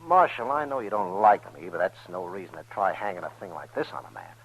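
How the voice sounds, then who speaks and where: A man's voice: deep voice, animated, fairly quick, husky, exaggerated voice, rough recording. A man playing a cornered, shifty gambler who pleads and evades in an old-time radio western. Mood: defensive, nervous. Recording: old radio drama recording.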